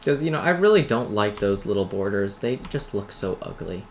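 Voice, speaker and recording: A man's voice: fairly low pitch, sing-song, brisk. A man hosting a gaming video with casual, rambling commentary and tongue-in-cheek, self-deprecating asides. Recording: noisy home mic, keyboard clatter. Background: typing and background noise.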